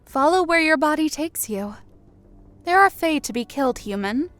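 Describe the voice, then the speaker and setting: Woman as a lofty, high-voiced goddess of death. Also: animated, normal speed, fairly bright tone, no room sound. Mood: commanding. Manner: lofty, sweet, composed. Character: regal female death god, lofty, serenely amused. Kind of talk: dramatised audiobook character dialogue.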